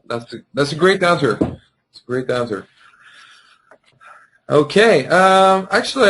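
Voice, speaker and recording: A man with a Swedish accent hosts a webinar, speaking politely and often pausing on "um" fillers. His pitch is ordinary and his delivery courteous. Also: a bit bright. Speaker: webinar host. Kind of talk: closing a webinar.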